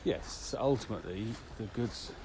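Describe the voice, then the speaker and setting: A man co-hosting a podcast, explaining things in a measured, slightly formal way. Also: on the low side, animated. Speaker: podcast co-host. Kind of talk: explanatory walking podcast chat. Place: walking outdoors across open fields. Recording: outdoor recording with clear ambient noise. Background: outdoor ambience.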